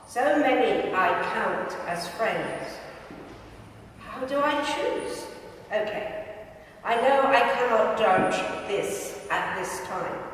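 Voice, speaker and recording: A woman reading written memoir pieces aloud at a live event, in a measured, deliberate way. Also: fairly high pitch, slight background noise. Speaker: reader at a live spoken-word event. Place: large echoing gym hall. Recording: very echoey hall, distant microphone.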